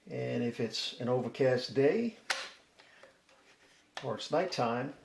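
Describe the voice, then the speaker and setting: A man with a gravelly, creaky edge hosts a casual product review. He is folksy and chatty, explaining step by step. The room is echoey, with a faint layer of noise. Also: medium pitch. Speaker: host of a DIY product review channel. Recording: roomy echo, faint background noise.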